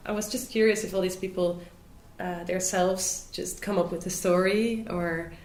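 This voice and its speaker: Low-voiced woman in an echoey room. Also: fairly even intonation, normal speed, darkish tone. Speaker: student interviewer. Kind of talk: casual interview questioning. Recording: roomy echo with background noise.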